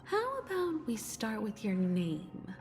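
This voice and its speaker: An actress playing a composed, perceptive woman in a video game, explaining things in a poised, deliberate way. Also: fairly high pitch, huge pitch swings, exaggerated voice. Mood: calm, polite. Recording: long hall reverb, faint music.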